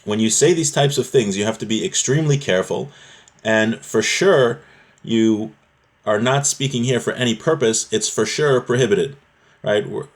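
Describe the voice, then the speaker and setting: A man's voice: fairly low pitch, moderate pace. A man giving a religious lesson as a teacher, reading Hebrew phrases and explaining them in a conversational, explanatory way. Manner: conversational, explanatory, often checking with "right?".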